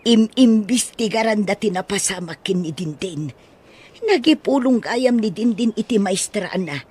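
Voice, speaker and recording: A woman playing a protective, outspoken older household helper in an audio drama, husky and emphatic, with a Filipino accent. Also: medium pitch, animated, a little slow, warm tone. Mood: earnest, explaining. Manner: exclaiming, emphatic.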